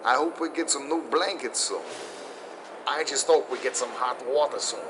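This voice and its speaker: An actor playing a chatty, grumbling soldier in a video game cutscene. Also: male voice, mid-range voice, moderate pace, background noise, natural voice.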